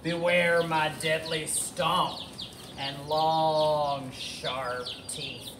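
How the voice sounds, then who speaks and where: A woman's voice: deep voice, steady tone. A woman playing a boastful tyrannosaurus drawing out threats. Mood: threatening. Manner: drawn-out, grandiose boasting. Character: roaring, boastful, menacing tyrannosaurus. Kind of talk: children's storybook read-aloud. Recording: echoey, noisy, with birdsong.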